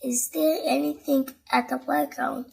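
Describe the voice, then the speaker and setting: A woman with a high, slightly creaky voice reads a children's story. She takes her time, expressive and clearly addressing little listeners. There is faint noise in the recording. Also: a little slow, rough recording. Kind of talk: scripted read-aloud bedtime story narration.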